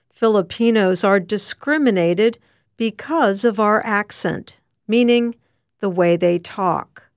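Slow, careful female newsreader. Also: mid-range voice, dry recording. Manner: slow, careful, clear delivery. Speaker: news presenter. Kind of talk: slow scripted news reading. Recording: dry studio sound.

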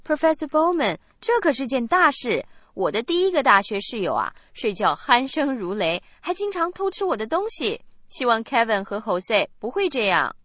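A woman's voice: fairly high pitch, very animated, normal speed, hardly any pauses, a bit bright, dry recording. A woman co-hosting a scripted radio language lesson, explaining in a chatty way into a studio mic.

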